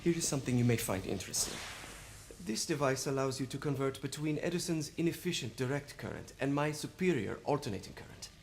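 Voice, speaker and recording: A man playing a proud, eccentric Victorian inventor in a video game, speaking politely and precisely with gentle hesitations. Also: mid-range voice, animated, quick pace, gravelly, a little hiss or hum. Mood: proud, eager. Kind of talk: video game cutscene acting.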